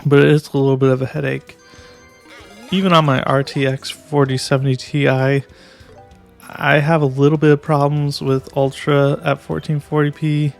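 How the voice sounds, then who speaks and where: A man's voice: a little high, little pitch movement, normal speed, gravelly, faint music behind. A young man giving a casual video game review, hesitant and restarting his sentences often. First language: English.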